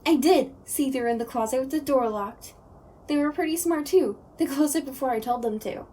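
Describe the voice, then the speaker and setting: A high-pitched, childlike prankster ghost acted by a woman, chirpy and eager. The audio roleplay voice sits off the mic with clear background noise. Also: brisk, dark tone, distant mic. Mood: chatty, eager. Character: goofy, childlike ghost who loves puns, named Chris.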